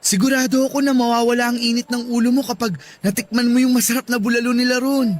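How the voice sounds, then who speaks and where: A man's voice: very high voice, little pitch movement, fast, slight background noise. A man playing an apologetic, pleading young boyfriend in a radio drama, coaxing and eager to please. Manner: placating, coaxing.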